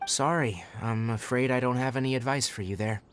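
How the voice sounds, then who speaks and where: A man's voice: medium pitch, a little hiss or hum, close to an everyday voice. Composed, articulate young ranger voiced by a man. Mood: calm, businesslike. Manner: measured, articulate, matter-of-fact. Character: composed, astute young male forest ranger mentor. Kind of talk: video game voice acting. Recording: background music under the voice.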